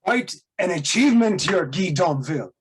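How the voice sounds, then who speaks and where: A man's voice: high-pitched, expressive, normal speed, pitched above the performer's own voice. A man reading the part of a sociable theatre publisher at a table read, hearty and persuasive. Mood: eager. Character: smooth male theatre publisher, eager and sociable.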